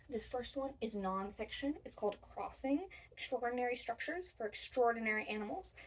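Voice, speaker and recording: Female children's storytime presenter, high voice, sing-song emphasis, recorded a little away from the mic. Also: distant mic, low-quality recording. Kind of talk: demonstrating a children's storytime activity and reading picture books.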